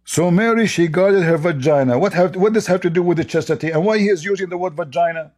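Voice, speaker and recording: A man's voice: high voice, quick pace, a little mellow. Sarcastic man with Arabic as his first language. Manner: sarcastic, mocking, repetitive. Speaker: host. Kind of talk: casual commentary.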